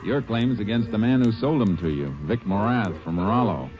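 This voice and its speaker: An actor playing a steady Texas Ranger who narrates and questions suspects in a tersely measured way in a radio drama. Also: male voice, lowish voice, dull audio, close to an everyday voice. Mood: stern. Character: stern, steady male Texas Ranger lawman.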